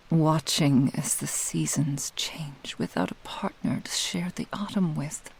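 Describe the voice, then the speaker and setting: A woman voicing a proud, possessive, seductive fox-spirit witch in a breathy whisper, with a grandiose, intimate manner, for an ASMR roleplay. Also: low voice, mellow, exaggerated voice, low-quality recording. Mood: longing, tender. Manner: slow, lingering, grandiose, intimate.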